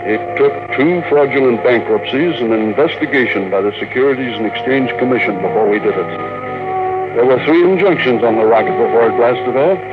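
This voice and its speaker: An actor playing a stubborn, obsessed old tycoon in a 1950s radio drama, speaking with commanding, theatrical emphasis. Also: male voice, medium pitch, fairly quick, over loud music, natural voice. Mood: bitter, resolute. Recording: noisy, tinny 1950s radio recording.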